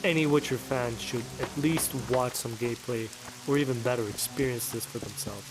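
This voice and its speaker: A man's voice: mid-range voice, moderate pace, poor audio. A man giving casual commentary as he plays through a video game. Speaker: let's play creator.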